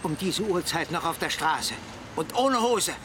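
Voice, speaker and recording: Radio play guardian, stern and condescending, swooping delivery, noisy street behind. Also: male voice, fairly high pitch, expressive, measured pace. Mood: scolding. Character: stern, patronising self-appointed guardian of a youngster. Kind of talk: German radio drama acting. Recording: noisy street with traffic and machinery.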